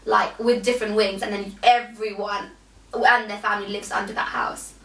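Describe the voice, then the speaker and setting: A woman's voice: medium pitch, little pitch movement, moderate pace, a bit bright, reverberant, distant mic, slight background noise, rough recording. A young woman from Nepal with a British accent, talking in a casual podcast chat and rambling with lots of fillers. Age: young adult. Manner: rambling, many fillers and restarts. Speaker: podcast co-host.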